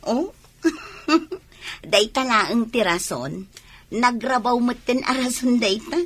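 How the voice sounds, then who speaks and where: Cheerful ilocano teacher lady, middle-aged, high voice, chatty old-friend type in a radio play. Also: expressive, slow pace, fairly bright tone, husky, full character voice. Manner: chatty, exclamatory. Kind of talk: radio drama acting.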